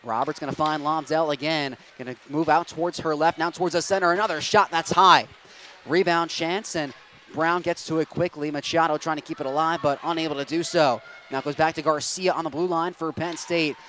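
A young man calling ice hockey play-by-play with rapid, steady narration that rises to excited shouts on goals, on a headset mic in an arena booth. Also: on the high side, a little hiss or hum. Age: young adult. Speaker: play-by-play announcer.

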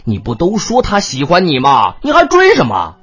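A performer voicing a brash, excitable young man, a blunt and boastful best friend. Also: mid-range voice, moderate pace, breathy edge, faint music behind, exaggerated voice. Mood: incredulous, mocking. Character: brash, excitable, foul-mouthed young male buddy.

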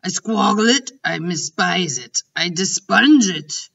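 A woman's voice: lowish voice, animated, slow pace, gravelly, a bit bright, slightly rough, heavily acted. A woman voicing a big friendly giant with jumbled speech. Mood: pleading. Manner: jumbled grammar, grand and emphatic. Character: big friendly male giant, kindly, muddled words. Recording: dull distant recording.

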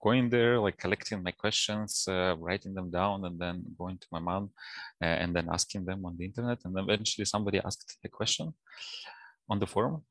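A man with a Russian accent gives relaxed interview answers in a low-pitched voice. It has a lot of fry, and he pieces his sentences together haltingly. The headset sound is dry, with very little room in it. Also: no echo, poor audio. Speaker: guest, data science professional. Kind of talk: casual interview talk. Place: office, indoors, on a video call.

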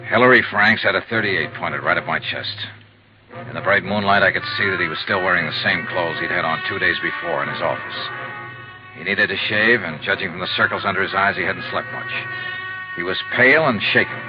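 A man's voice: deep voice, sing-song, a little fast. An American actor playing a tough, matter-of-fact insurance investigator who narrates his case in a 1950s radio drama. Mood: calm, steady. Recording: muffled 1950s radio recording with music.

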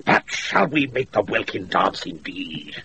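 An actor playing a drunken, jovial older knight with a British accent in a Shakespeare radio play, taped off the air. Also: male voice, low voice, sing-song, a little slow, bright, forceful tone, full character voice. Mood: rousing, tipsy. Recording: noisy off-air radio recording.

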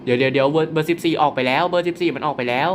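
A young man commentating his own mobile werewolf game in a chatty, excitable way, polite but slipping into laughter. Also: a little high, rapid-fire, slight background noise. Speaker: gaming YouTuber.

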